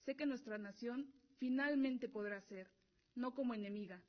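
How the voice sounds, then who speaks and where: An earnest young noblewoman of a warrior tribe played by a woman in a radio play. Her voice sits fairly high, and the recording is dull and lo-fi. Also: normal speed, close to an everyday voice. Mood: earnest, hopeful. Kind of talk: scripted audio drama acting.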